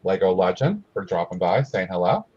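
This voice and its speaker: A man hosting a casual livestream from home, friendly and quick to laugh, greeting viewers by name. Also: low voice, sing-song, dark tone, breathy edge. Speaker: livestream host. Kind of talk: casual chat. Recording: dull lo-fi stream audio.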